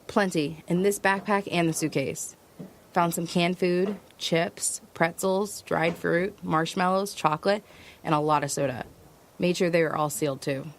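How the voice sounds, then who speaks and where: A woman's voice: lowish voice, steady tone, unhurried, a little hiss or hum. An actress playing a resourceful, stubborn woman survivor in an audio drama, narrating and speaking in a terse, matter-of-fact way. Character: resourceful, stubborn female survivor traveling west. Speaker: lead actor and first-person narrator. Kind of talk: audio drama acting with first-person narration.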